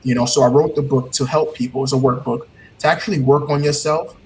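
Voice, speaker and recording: A man's voice: medium pitch, steady tone, fairly quick, darkish tone, slight background noise, poor audio. Trinidadian man coaching earnestly. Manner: earnest, motivational, repeats key phrases. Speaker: guest, heartbreak coach. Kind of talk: casual interview talk.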